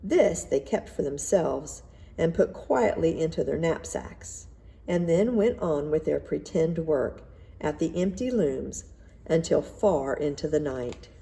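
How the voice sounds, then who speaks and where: A woman reading a children's story aloud in an expressive, measured narrating style. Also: sits low, gravelly. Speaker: storybook reader.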